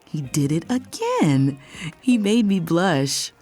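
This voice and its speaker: A woman acts out a playful, sassy young woman in an audio romance, telling her story in the first person. Her voice sits a little low and swings with animation. Faint music plays behind her. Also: wildly swooping pitch, slow pace, a little mellow, full character voice. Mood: smitten, confiding. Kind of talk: first-person audio drama narration and dialogue.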